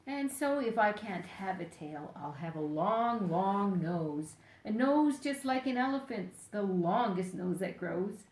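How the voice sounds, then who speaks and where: Older female storyteller, unhurried picture-book read-aloud, playful and direct with children, echoey room. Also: mid-range voice, animated, darkish tone. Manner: deliberate, playful, addresses young listeners directly.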